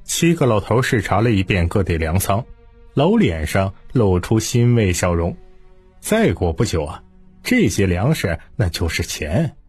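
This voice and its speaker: A man's voice: lowish voice, very animated, a little slow, a little mellow, slightly rough, no echo, faint music behind. A man narrating an audiobook as a dramatic storyteller.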